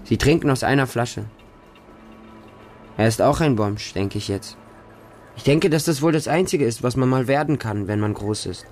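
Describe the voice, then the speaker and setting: A man's voice: medium pitch, expressive, normal speed, pauses often, darkish tone, a little hiss or hum, lightly acted. An actor narrating a radio drama as a bleak, hardened homeless Russian street boy, in short, stark sentences. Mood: desolate. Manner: short, plain, stark present-tense sentences.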